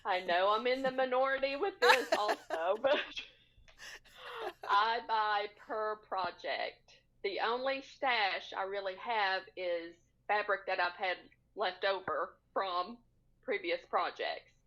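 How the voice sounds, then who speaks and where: A woman guest talks casually on a podcast video call. She explains in a measured, encouraging manner at a medium pitch. The audio is a little dull and muffled. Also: fairly bright tone. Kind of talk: casual conversation. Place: indoors on a split-screen video call.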